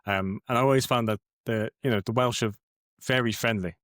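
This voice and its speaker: A man's voice: mid-range voice, fairly quick, fairly bright tone, no echo, clean studio sound. A young man hosting a podcast interview, introducing his guest and asking questions with frequent restarts. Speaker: podcast host.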